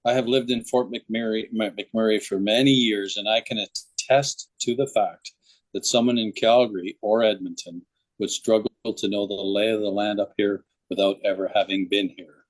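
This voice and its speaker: A man's voice: fairly low pitch, fairly even intonation, moderate pace, poor audio. A man hosting a podcast, introducing the discussion and interviewing a guest. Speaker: podcast host.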